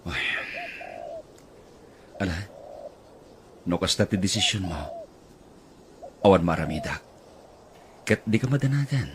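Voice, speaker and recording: A man voicing a calm, dutiful younger brother who speaks in a resigned way. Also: low-pitched, unhurried, long pauses, slight background noise. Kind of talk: Ilocano audio drama acting.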